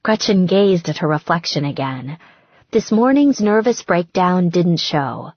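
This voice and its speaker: A woman narrating scripted romance fiction as an audiobook reader, with a husky, breathy voice. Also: on the low side, expressive, measured pace, no room sound, muffled recording.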